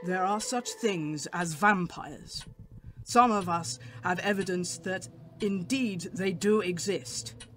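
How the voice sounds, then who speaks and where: An actor playing a grave, commanding professor who hunts vampires in a radio drama, solemn and formal in delivery. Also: male voice, high voice, takes it slowly, a bit bright, soft background music. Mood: solemn, urgent.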